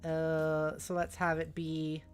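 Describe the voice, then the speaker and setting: Low-pitched woman streamer chatting over music. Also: monotone, slow pace. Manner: sweary, playful, reacting aloud. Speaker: livestream host. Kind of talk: casual livestream chat. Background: faint music.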